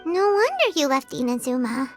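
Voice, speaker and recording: A little female fairy sidekick in a video game, childlike and eager. The voice is piercingly high and squeaky, bouncing all over in pitch. Music plays clearly behind it. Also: moderate pace. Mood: curious. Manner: squeaky, excitable, refers to self in third person. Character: tiny childlike female fairy companion, chirpy, curious, eager. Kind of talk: scripted video game voice acting.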